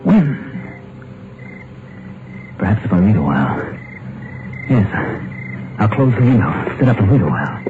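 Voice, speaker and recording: An actor playing a frightened husband who believes a hired killer is after him, in a 1950s radio drama, talking in a feverish inner monologue. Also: male voice, lowish voice, big pitch swings, a little slow, pauses often. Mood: panicked. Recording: dull, hissy old radio broadcast with music.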